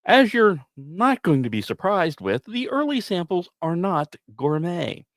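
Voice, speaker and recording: A man's voice: high-pitched, big pitch swings, measured pace, fairly bright tone, no room sound. Man, podcast host, very varied intonation, close dry sound, semi-scripted science news, casual wry asides.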